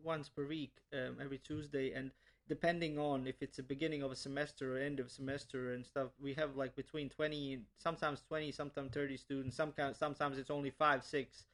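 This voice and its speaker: A man's voice: mid-range voice, level tone, fairly quick, a bit bright. A young man from Bosnia, a language teacher, answering interview questions earnestly with many restarts and repeated words.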